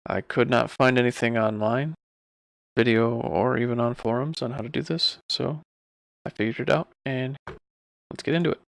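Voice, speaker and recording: Male tutorial host, offhand and informal, heavy vocal fry, close dry sound. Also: medium pitch, normal speed, no echo. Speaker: streamer giving a software tutorial. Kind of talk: casual screen-recorded tutorial.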